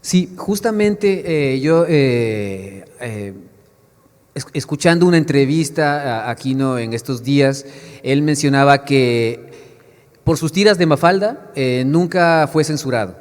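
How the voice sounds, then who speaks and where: A man moderates a panel, his speech halting and full of restarts. His pitch is middling. The whole thing rings with the long echo of a big hall. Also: expressive. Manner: hesitant, many fillers and restarts. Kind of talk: panel discussion. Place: book fair panel hall. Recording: echoey hall sound.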